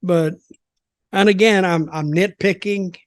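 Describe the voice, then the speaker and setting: Older man, higher-pitched voice, dry close sound, rambling, opinionated, casual podcast commentary. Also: fairly even intonation, a little slow, no room sound. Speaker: wrestling commentator and podcast guest. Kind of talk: casual wrestling discussion.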